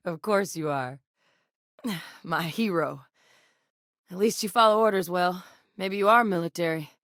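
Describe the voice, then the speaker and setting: Low-voiced, composed woman scientist. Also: fairly bright tone, no echo. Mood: wry. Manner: measured, earnest, dry wit. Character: composed, principled woman scientist in space, guarded and wry. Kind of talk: video game character dialogue.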